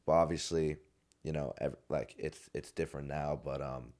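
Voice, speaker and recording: A man's voice: extremely low pitch, steady tone, moderate pace, darkish tone. A man in his twenties, a podcast guest, telling a story in a loose, rambling way, with many restarts and fillers. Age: 20s. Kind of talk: casual storytelling. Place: podcast studio.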